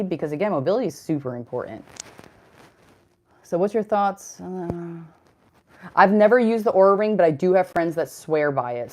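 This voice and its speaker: A young adult woman with a deep voice hosting a casual fitness livestream, chatty and rambling as she answers chat comments. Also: darkish tone.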